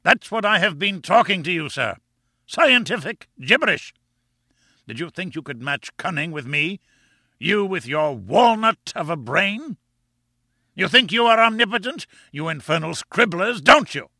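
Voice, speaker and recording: A man voicing a furious, bullying professor in an audiobook, pompous and menacing, with a rumbling, booming delivery. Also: big pitch swings, measured pace, pushed and bright, no echo, clean studio sound, heavily acted. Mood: raging contempt. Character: short, barrel-built furious professor, bullying and contemptuous. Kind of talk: audiobook character acting.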